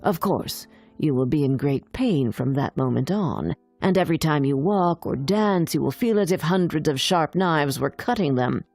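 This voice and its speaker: Storybook sea witch, old and menacing, played by a female narrator: low, drawn-out, sinister, music underneath. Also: expressive, a little mellow. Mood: sinister, warning. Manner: slow, drawn-out, sinister delivery.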